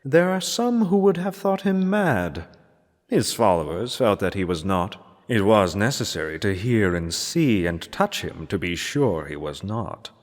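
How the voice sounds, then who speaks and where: Really deep guy reading a gothic horror tale, theatrical n measured w pauses, big echoing hall reverb. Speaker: narrator reading a classic horror story. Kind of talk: dramatic scripted narration of gothic fiction. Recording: long cavernous reverb.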